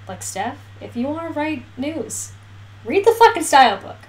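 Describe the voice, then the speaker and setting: A woman's voice: sits high, sing-song, moderate pace. A young woman co-hosting a podcast in casual chat, joking wryly and swearing freely. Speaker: podcast co-host.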